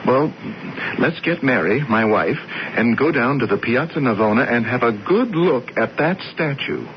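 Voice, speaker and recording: A man's voice: mid-range voice, expressive, normal speed, lightly acted. Actor as earnest, obsessed businessman, muffled radio sound. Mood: insistent. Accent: American. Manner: earnest, stammering, emphatic. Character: earnest, obsessed, wealthy American businessman, stubbornly insistent. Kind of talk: 1970s radio drama acting. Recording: muffled, noisy vintage radio broadcast.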